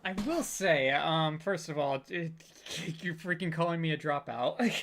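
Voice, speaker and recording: A man speaking casually as a voice actor on a panel, halting and flustered as he searches for words. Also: on the high side, fairly bright tone, slight background noise.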